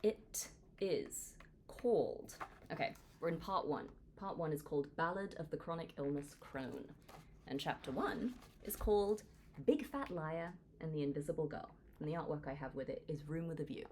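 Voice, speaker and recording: A woman in her twenties from Hong Kong, reading her own book aloud as an earnest, lively audiobook narrator. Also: moderate pace, slight background noise. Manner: earnest, lively reading with wide pitch swings. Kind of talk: audiobook read-along narration.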